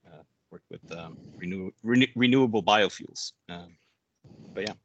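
Gravelly low man, dry close sound. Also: moderate pace, fairly bright tone, highs cut off, a little hiss or hum. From Sweden. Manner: hesitant, many ums and uhs. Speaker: podcast guest, head of product. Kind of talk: casual panel chat.

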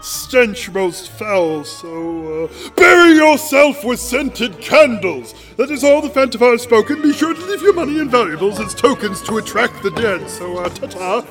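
An actor playing a pompous charlatan seer in an audio drama, with a theatrical, grandiose manner. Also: male voice, very high-pitched, big pitch swings, moderate pace, hardly any pauses, a bit bright, faint music behind, full character voice. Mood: hurried, theatrical. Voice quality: put-on showy weird voice. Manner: theatrical, grandiose, stammers when flustered.

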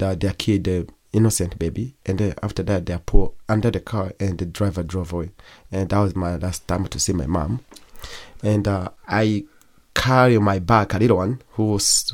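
A young man from Congo, whose first language is Kigogwe, recounts his life as an interview guest. His voice sits low. He talks haltingly, pausing often and restarting his sentences. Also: darkish tone. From Democratic Republic of Congo. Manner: halting, with frequent pauses and restarts. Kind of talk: personal testimony in an interview.

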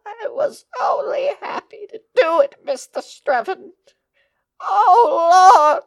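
Woman acting a high-pitched, melodramatic grieving housekeeper. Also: expressive, slow pace, gravelly, heavily acted. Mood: wailing grief. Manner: tremulous, melodramatic. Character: devoted, distraught, melodramatic female housekeeper. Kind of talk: audio drama acting.

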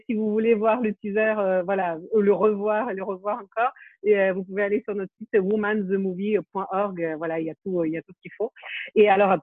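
A woman's voice: medium pitch, no room sound. A woman in her forties from Ukraine, a film director talking thoughtfully in a casual interview, with many fillers and restarts. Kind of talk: casual interview over a video call. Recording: tinny phone-like call audio, dry.

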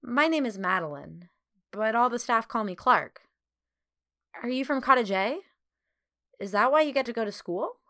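A woman voicing a small girl in a storybook, quiet, curious and guarded, asking questions. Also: a little high, animated, moderate pace, pauses often, voice pushed up.

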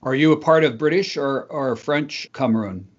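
Male interview host, conversational, friendly short affirmations, mid-pitched voice, dull lo-fi recording. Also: normal speed, slightly rough. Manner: friendly, brief affirmations between questions.

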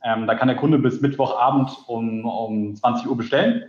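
A man's voice: medium pitch, steady tone, normal speed, a little mellow, roomy sound, highs cut off. A man in his late twenties from Berlin, a startup co-founder, answering interview questions with many fillers. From Berlin, Germany. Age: late 20s. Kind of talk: casual interview.

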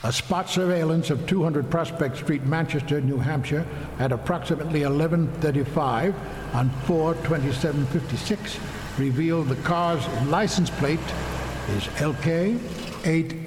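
An actor playing a cold, officious government surveillance chief dictating reports in a clipped, official manner for a radio drama. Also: male voice, on the high side, moderate pace, background noise, close to an everyday voice. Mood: clinical. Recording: cavernous echo with long reverb, music.

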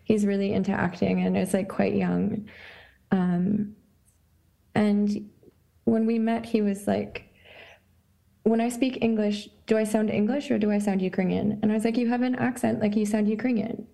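A young woman from Canada answers interview questions in a relaxed, searching way, stopping often to find her words. Her voice is mellow and dark, with a gravelly fry running underneath. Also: medium pitch. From Canada (Saskatchewan). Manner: thoughtful, halting, lots of likes and ums. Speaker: artist guest. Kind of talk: casual conversational interview.

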